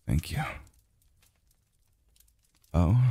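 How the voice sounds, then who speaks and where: An extremely low, husky, breathy male voice, put on by a man for an intimate ASMR roleplay as a tired, tender immortal lover. He murmurs very slowly and haltingly, with soft sighs. It is close and dry on the mic. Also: no room sound, heavily acted. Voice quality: very deep, gravelly, breathy. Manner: halting, murmured, sighing delivery. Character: weary, tender adult male immortal being soothed by a lover. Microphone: close dry microphone.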